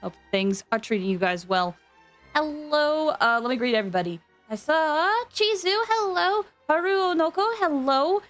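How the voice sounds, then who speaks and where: A woman's voice: sits high, lively intonation, moderate pace, faint music behind. A woman hosting a game livestream, chatting casually and informally with viewers, often drifting into asides.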